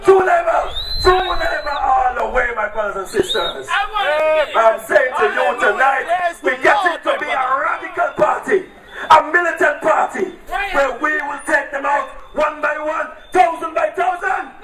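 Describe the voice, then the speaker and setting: A man with an Antiguan accent shouting a political campaign speech at an outdoor rally, hammering repeated rallying cries. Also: very high-pitched, unhurried. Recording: echoey, distant, loud crowd.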